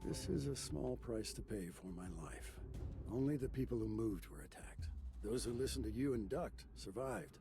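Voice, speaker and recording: A man acting a seasoned older raid hunter in an English anime game, speaking in a grave, measured way. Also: mid-range voice, lively intonation, creaky, over music. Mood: stoic, composed.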